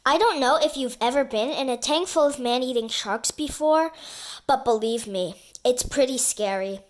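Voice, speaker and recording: A woman reading a children's book aloud in an expressive, storytelling manner. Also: high-pitched, moderate pace. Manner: expressive, shifts into character voices for dialogue. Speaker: storybook reader.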